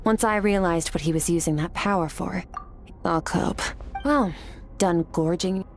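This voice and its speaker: Aloof game swordswoman, lowish voice, blunt n detached, imperious, music behind. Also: unhurried. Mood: cool, explanatory. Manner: detached, matter-of-fact, imperious. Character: cool, aloof young swordswoman, blunt and composed. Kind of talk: dramatic video game voice acting. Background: background music.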